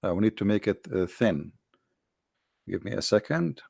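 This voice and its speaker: A man whose first language is Arabic, lecturing combatively over on-screen text, with many halting fillers and slow, drawn-out stress on key words. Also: on the low side, lively intonation, creaky, darkish tone, slightly rough, no room sound, clean studio sound. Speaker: religious commentator.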